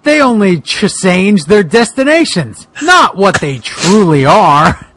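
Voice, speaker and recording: A man playing a brooding, reluctant monster hero in a halting, mock-dramatic way for a comic fan fiction reading. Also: high-pitched, sing-song, fairly bright tone, a little hiss or hum, heavily acted. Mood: philosophical, troubled.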